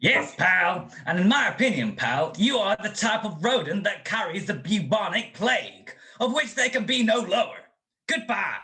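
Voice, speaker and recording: A man's voice: high voice, sing-song, normal speed, pushed and bright, exaggerated voice. A man playing a hot-headed, bombastic young football bruiser in 1940s radio comedy style. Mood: indignant.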